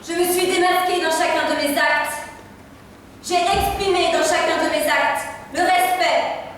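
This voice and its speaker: High-voiced young woman declaiming from afar. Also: steady tone, a little slow, a bit bright, a little hiss or hum. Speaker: drama student. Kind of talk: stage recitation. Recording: echoey hall, distant mic.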